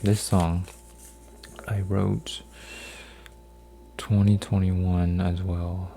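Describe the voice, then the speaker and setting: A man in his twenties, an ASMR creator, rambling softly with lots of fillers and restarts while folding paper, sometimes dropping to a whisper. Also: deep voice, flat delivery, very slow, creaky, a little hiss or hum. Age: 20s. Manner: meandering, full of fillers and restarts. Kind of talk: soft-spoken rambling ASMR.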